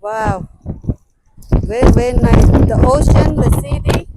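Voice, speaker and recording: High-voiced, slow-talking woman vlogger, non-native English. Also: huge pitch swings, heavy background noise. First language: not English. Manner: exclamatory, simple halting phrasing in non-native English. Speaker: travel vlogger. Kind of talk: casual sightseeing vlog, talking to viewers. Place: outdoors at a windy mountain lookout.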